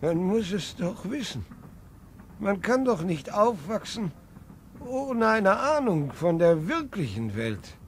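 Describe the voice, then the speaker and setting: A man's voice: sits high, very animated, takes it slowly, slight background noise, exaggerated voice. An actor playing a very old grandfather who fondly remembers a lost world, in an early 1950s radio play. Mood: defiant. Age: older. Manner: wistful, earnest storytelling. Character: very old grandfather, nostalgic, defiant dreamer. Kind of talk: 1950s radio drama acting.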